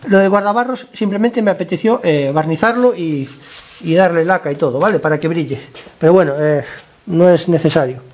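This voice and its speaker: A man with a high-pitched, slightly hoarse voice, worn by a cold, chats through a motorcycle repair in his garage. He talks to the camera as he works, explains each step in a loose, conversational way, and keeps checking that viewers are following. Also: fairly quick, darkish tone. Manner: chatty, explains step by step, keeps asking ¿vale?. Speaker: motorcycle mechanic vlogger. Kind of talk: casual workshop tutorial.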